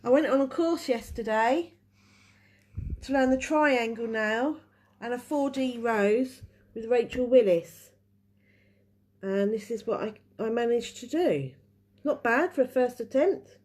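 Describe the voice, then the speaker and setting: Woman, stuffy from a cold, relaxed chatty nail tutor, higher pitch. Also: unhurried, pauses often. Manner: chatty, restarts while working. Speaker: nail technician teaching a live class. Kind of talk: casual live tutorial.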